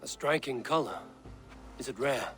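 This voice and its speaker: An actor voicing a proud, steady male Viking clan leader in a video game, with measured, declamatory delivery. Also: medium pitch, in a large hall, soft background music, close to an everyday voice. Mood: curious, guarded.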